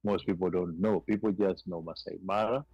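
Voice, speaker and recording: A man's voice: low voice, steady tone, normal speed, no echo, lo-fi sound. A man co-hosting a talk show, explaining at length and often repeating words as he restarts. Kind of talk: casual conversation.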